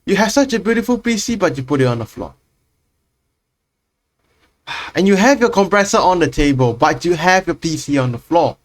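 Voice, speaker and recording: A man's voice: high voice, animated, moderate pace, pauses often. Blunt chatty man reacting. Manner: blunt and chatty, swears, explains gear. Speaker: reaction channel host. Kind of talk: casual reaction commentary.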